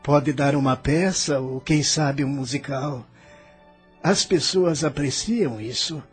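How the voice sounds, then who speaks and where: Male actor as bewildered newly dead man, Brazilian accent, slightly high voice, slow delivery, bitter and musing, audio drama over music. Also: slightly rough. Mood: musing, wry.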